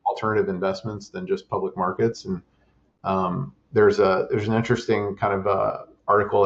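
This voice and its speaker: A man answering interview questions about startup investing and advising, in a measured way with many fillers and restarts. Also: deep voice, gravelly, dull audio.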